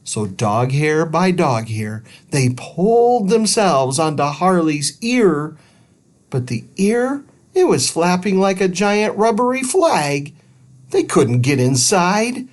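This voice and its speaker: Male children's story reader, animated and expressive, very slow pace. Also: high voice.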